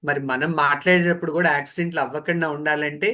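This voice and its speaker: Bright-voiced man leading a training session. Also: on the high side, steady tone, racing. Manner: didactic, poses questions and repeats key phrases. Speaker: voice modulation trainer. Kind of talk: interactive training lecture.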